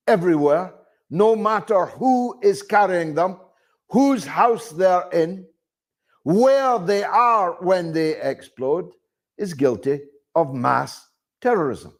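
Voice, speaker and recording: A man delivering political commentary as a monologue, phrasing deliberately and emphatically, with rhetorical questions and repetition. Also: on the high side, a little mellow. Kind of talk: spoken political commentary to an audience.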